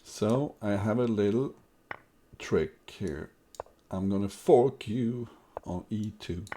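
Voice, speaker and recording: Swedish-accented male chess streamer, deep-ish mellow voice, muses dryly over moves. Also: slow pace, slight background noise. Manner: thinking aloud over moves, wry asides. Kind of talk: live-streamed chess commentary.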